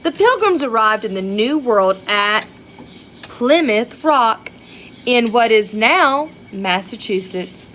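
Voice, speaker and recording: A woman reads a picture book to young children at a very unhurried pace. Her voice sits fairly high and she is expressive, chatting to her listeners with questions and asides. There is faint noise behind her. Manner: expressive, child-directed, with rhetorical questions and exclamations.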